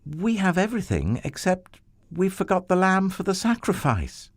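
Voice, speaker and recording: Storybook role: innocent, puzzled young boy, lifted pitch, played by a male narrator. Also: big pitch swings, normal speed, dry recording.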